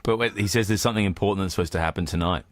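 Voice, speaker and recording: A man's voice: low-pitched, rapid-fire, dry recording, clean studio sound. A man co-hosting a podcast in casual creative discussion, often repeating and rephrasing himself. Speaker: podcast co-host.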